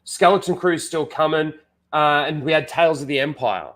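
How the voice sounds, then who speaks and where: A man hosts a casual livestream while fighting a head cold, his nose blocked. His voice sits a little high, and he rambles with constant "like"s and false starts, talking into a desk mic. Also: normal speed, fairly bright tone. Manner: rambling, full of "like" and restarts. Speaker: livestream host.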